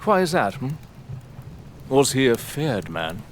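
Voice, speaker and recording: An actor playing an arrogant, sardonic aristocratic lord in an audio drama, with a British accent and a pompous, theatrical manner. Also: male voice, mid-range voice, very animated, slow pace, slight background noise. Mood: prying, amused.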